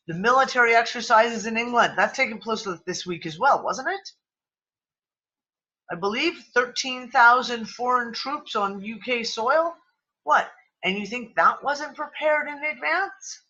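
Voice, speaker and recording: A man's voice: very high-pitched, pushed and bright, highs cut off. A man hosting a casual livestream chat, losing his voice and sniffling, who talks in an exclamatory, rambling way and swears freely. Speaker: livestream discussion host. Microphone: computer mic on a video call.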